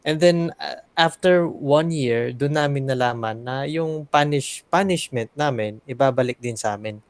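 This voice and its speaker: Smooth-voiced man chatting on a call. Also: mid-range voice, moderate pace, no room sound. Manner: code-switching, chatty, laughing, many fillers. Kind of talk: casual group discussion on a video call.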